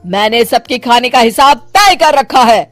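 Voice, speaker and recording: A woman voicing a stern, older retired policewoman mother-in-law who barks commands in an animated story. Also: on the high side, faint music behind. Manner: barking drawn-out commands, strict. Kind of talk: animated moral story voice acting.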